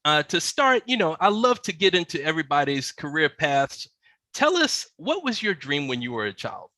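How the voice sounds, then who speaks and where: A man's voice: a little high, sing-song, moderate pace, bright, forceful tone, no room sound, studio quality. A man hosting a podcast, welcoming his guest and asking short, encouraging questions. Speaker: podcast host. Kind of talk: interview hosting.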